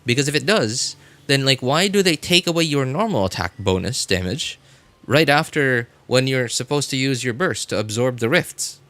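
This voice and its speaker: A man's voice: mid-range voice, animated, normal speed, fairly bright tone, no room sound. A man livestreaming a game, chatting casually with viewers and thinking aloud in a rambling way. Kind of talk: casual gaming stream chat with viewers.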